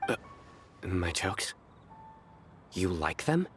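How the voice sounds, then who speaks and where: A serious, obsessive young video game devotee, with a low yet animated voice. He is proud, grave and formal, with faint music underneath. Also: extremely slow, exaggerated voice. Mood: taken aback. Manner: grave, formal, literal-minded.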